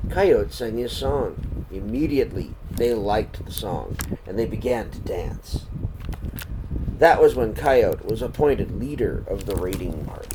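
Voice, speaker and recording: Slow, expressive man reading a children's story. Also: medium pitch, background noise. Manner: deliberate, expressive delivery with pauses between phrases. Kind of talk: read-aloud storytelling for young children.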